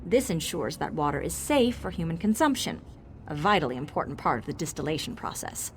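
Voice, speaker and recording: A synthetic woman's voice playing a brisk, self-assured engineer in a fantasy video game, speaking with precise, technical confidence. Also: deep voice, expressive, slight background noise. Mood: confident, explanatory.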